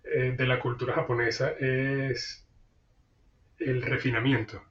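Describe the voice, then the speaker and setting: A man's voice: mid-range voice, flat delivery, measured pace, a bit bright, echoey room, recorded from a distance, lo-fi sound. A man being interviewed over a video call, answering thoughtfully with many fillers and restarts. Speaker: guest. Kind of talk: casual interview.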